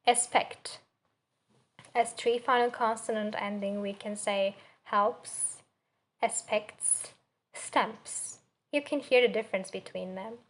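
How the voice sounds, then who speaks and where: Slow, even-toned young Turkish woman presenting. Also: medium pitch. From Turkey. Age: young adult. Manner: halting, searching for words, careful example words. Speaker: student presenter. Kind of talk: spoken assignment presentation on pronunciation.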